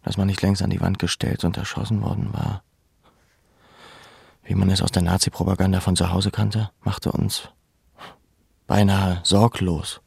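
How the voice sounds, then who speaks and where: A man looking back on his deportation to a camp. His voice is low and creaky, recorded very dry and close. He narrates in a measured, reflective way, as in a literary audio drama. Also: little pitch movement, darkish tone, no room sound, heavily acted. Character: male camp survivor soberly recalling his deportation.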